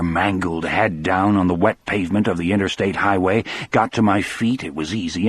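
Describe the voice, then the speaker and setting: A man narrating a dramatic first-person audiobook as a grief-stricken elderly former prison guard, in a measured, somber way. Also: on the low side, fairly bright tone, breathy edge, no echo.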